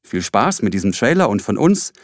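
A man presents a scripted podcast announcement as host. His mid-range voice is animated and recorded dry. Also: lowish voice, brisk, no echo.

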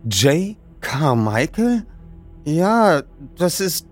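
A man voicing a bewildered twelve-year-old boy in an audiobook reading, halting and stammering. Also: on the high side, very animated, faint music behind, pitched above the performer's own voice, full character voice. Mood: excited.

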